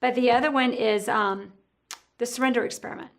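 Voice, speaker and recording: A woman hosting a casual podcast interview, talkative and thinking out loud, often correcting herself as she goes. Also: a little high, steady tone, a little fast.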